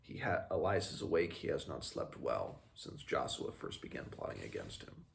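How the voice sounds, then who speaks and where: A man talks through a book in a halting, thoughtful way, as a read-along host. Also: a bass voice, big pitch swings, creaky. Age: 20s. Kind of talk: casual book commentary with passages read aloud. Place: small room at home, indoors.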